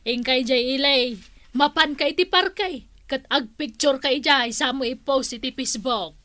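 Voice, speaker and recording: A teasing village gossip in a comedy drama, played by a woman. Her voice is high, with a bright and piercing forcefulness. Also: a little slow.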